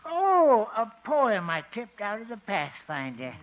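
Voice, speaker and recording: Old rustic codger, drawling, rambling, high voice, old radio sitcom, muffled lo-fi sound. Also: male voice, huge pitch swings, slow, heavily acted. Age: older. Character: slow old country codger, father of the marshal. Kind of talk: 1950s radio sitcom acting. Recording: dull 1950 broadcast with heavy hiss.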